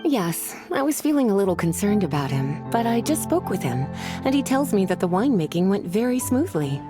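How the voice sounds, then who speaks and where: An actress plays a composed, caring woman in a video game. Her mid-pitched voice is articulate with lively intonation, set over clear background music. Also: fairly quick, lightly acted.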